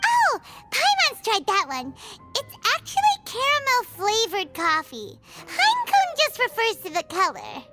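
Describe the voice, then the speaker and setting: A tiny fairy sidekick chatters away in a squeaky, very high voice that leaps all over the place. She is bubbly, fussy and excitable, and talks about herself in the third person. Game music plays clearly behind her. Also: unhurried, few pauses, heavily acted. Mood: chatty. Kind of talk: game cutscene voice acting. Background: background game music.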